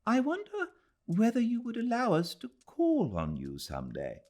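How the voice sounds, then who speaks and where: Man voicing an elderly English gentlewoman, high and courteous. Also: wildly swooping pitch, unhurried, full character voice. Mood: polite, eager. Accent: British.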